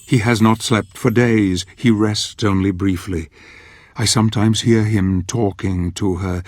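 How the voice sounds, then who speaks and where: An actor playing a grave, watchful old narrator, telling a dark fantasy video game story in a solemn, measured, theatrical way. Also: male voice, on the low side, dry recording, studio quality, close to an everyday voice.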